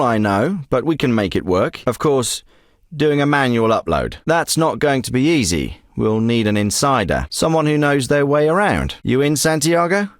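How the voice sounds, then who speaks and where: A man's voice: mid-range voice, no room sound, natural voice. A man voicing a middle-aged special forces handler in a video game, giving terse, businesslike briefings. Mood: urgent. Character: clipped, steady male military handler, middle-aged. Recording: dry close studio recording.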